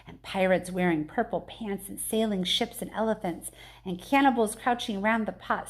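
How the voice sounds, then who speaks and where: A woman's voice: medium pitch, a little mellow, close to an everyday voice. A woman voicing tiny factory workers in a children's storybook, reciting moralising verse with rhythmic, scolding emphasis. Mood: nostalgic, dramatic.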